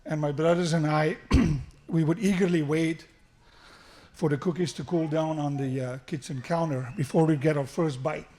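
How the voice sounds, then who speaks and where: Middle-aged South African man, slightly high voice, self-deprecating wedding speech with restarts. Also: steady tone, moderate pace, dark tone. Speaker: father of the groom giving a wedding speech. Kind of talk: wedding toast. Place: wedding reception hall. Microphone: handheld microphone through the sound system.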